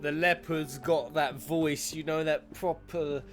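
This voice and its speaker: A man's voice: fairly high pitch, fairly even intonation, slow pace, faint music behind. A man giving casual, playful and theatrical reaction commentary on video game cutscenes, full of mock-suspicious asides.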